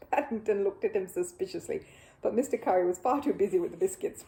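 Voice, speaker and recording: A woman narrating a children's story as an animated audiobook reader. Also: medium pitch, fairly quick, vocal fry, rough recording.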